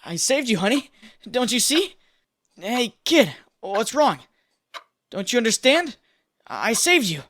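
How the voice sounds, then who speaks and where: A woman's voice: a little high, big pitch swings, slow, pauses often, fairly bright tone, heavily acted. A woman voicing an earnest, wisecracking young superhero in a dramatic comic dub. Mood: desperate pleading.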